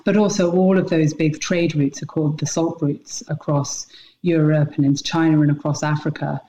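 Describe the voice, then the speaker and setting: A woman's voice: sits low, warm tone. A woman who grew up in Britain, explaining things conversationally as an interview guest, on muffled, noisy-sounding audio. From Britain, mostly Scotland. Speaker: podcast guest, salt producer.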